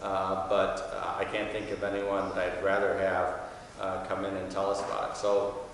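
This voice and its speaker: Man, 60s, magistrate making introductions. Lowish voice, halting delivery, heard from a distance in a big reverberant room. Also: completely flat delivery, fairly bright tone. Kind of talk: informal welcome speech. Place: wood-paneled courtroom. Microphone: podium mic, standing back from it. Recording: very echoey hall, distant mic, faint noise.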